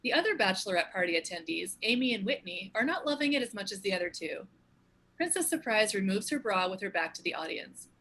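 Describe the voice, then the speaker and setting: A woman with a husky voice reading scripted stage directions as the narrator of a table read. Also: medium pitch, pushed and bright, slight background noise, low-quality recording. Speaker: narrator and show co-creator.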